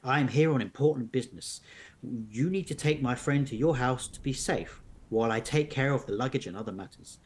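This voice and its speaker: A man's voice: medium pitch, brisk, lightly acted. A man voicing a tall, mysterious, commanding stranger in a storybook adventure game. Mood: curt, commanding.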